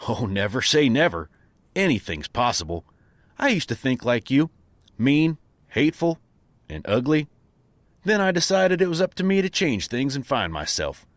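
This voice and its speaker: Folksy, righteous western hero male actor, lively intonation, audio comedy. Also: mid-range voice, moderate pace, dry recording, natural voice. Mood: chipper, oblivious. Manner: folksy drawling cowboy speech. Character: earnest, folksy, self-righteous male drifter hero, oblivious.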